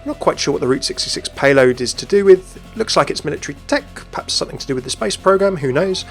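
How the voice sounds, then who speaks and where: A man narrates video game lore as an upbeat, chatty host. He talks quickly with a lively, swinging intonation in a slightly high voice, over light background music. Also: vocal fry. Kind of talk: scripted gaming lore narration.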